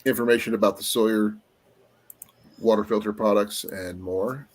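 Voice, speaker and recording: A man hosting a casual live-stream chat, his voice going a little raspy, rambling along with fillers as he looks things up. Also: on the low side, fairly even intonation, moderate pace. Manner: rambling, trails off with fillers while looking things up. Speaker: livestream host.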